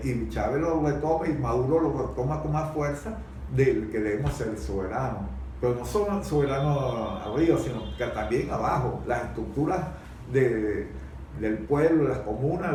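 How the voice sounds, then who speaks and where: A Venezuelan man talks politics off the cuff in a very echoey room, away from the mic. He speaks haltingly, repeating words as he looks for the next phrase. His pitch is average. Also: animated, a little mellow. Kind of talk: unscripted political discussion. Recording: very echoey room, distant mic, faint noise.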